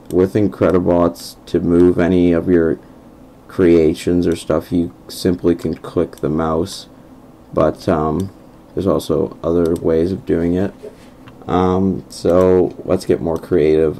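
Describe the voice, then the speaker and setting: A young man with a croaky voice narrating a casual game walkthrough over his screen recording, hesitant and full of ums. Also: low-pitched, dark tone, a little hiss or hum. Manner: hesitant, many ums and restarts. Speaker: gameplay video host. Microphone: computer microphone over screen recording.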